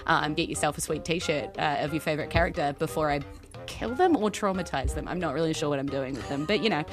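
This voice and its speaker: A woman's voice: low voice, fast talker. A woman hosting a podcast as game master, chatting casually and jokingly. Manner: playful, jokey. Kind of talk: casual sign-off chat. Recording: soft music in the background.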